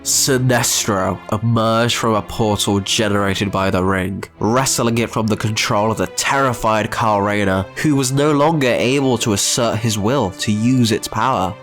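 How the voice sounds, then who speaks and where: A man's voice: lowish voice, fairly bright tone. A man narrating a scripted, dramatic story as its narrator. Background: music.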